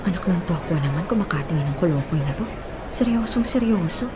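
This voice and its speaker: A woman's voice: lowish voice, lively intonation, fast, a crowd in the background, background noise, lightly acted. An actress playing a bitter, guarded young woman in a Filipino radio drama, haughty, curt and exclamatory. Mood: secretly smitten.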